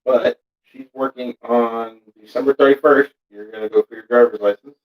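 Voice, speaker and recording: A man's voice: medium pitch, fairly even intonation, normal speed, highs cut off. A young man with a Texan accent, chatting casually on a vlog in a teasing, laid-back way. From Texas. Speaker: vlogger. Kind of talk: casual chat.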